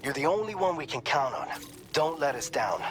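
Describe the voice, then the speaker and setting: Reverberant game mission briefer. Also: male voice, a little high, sing-song, moderate pace. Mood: encouraging. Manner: clear, explanatory, supportive. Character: encouraging male mission support officer briefing a courier. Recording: long reverb, loud music behind.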